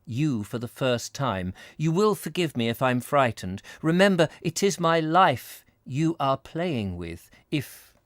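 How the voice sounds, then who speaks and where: Man acting out a timid, fluttery young woman, raised pitch. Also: very animated, measured pace, few pauses, fairly bright tone, dry recording, studio quality. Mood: anxious pleading. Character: timid, pleading young woman writing a romantic letter.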